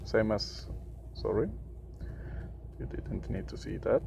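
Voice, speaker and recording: Swedish guy talking through a game, super gravelly voice, halting and hunting for words, faint hiss behind. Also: on the low side. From Sweden. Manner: halting, searching for words, frequent fillers. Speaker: gaming video creator. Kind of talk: casual gameplay commentary.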